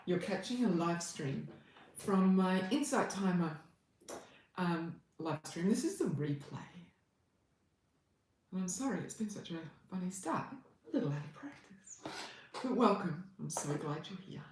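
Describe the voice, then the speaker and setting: Lively Australian woman, echoey room. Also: lowish voice, measured pace, long pauses, a little hiss or hum. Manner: chatty, greets viewers by name, laughs easily. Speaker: Reiki healer and livestream host. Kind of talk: casual livestream chat greeting viewers.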